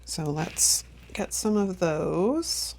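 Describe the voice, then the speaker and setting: Low, gravelly woman crafting and chatting. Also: sing-song, very slow, a little mellow, a little hiss or hum. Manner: chatty, self-talking while crafting, laughs often. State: sniffly with allergies. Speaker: planner vlogger. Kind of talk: casual plan-with-me chat.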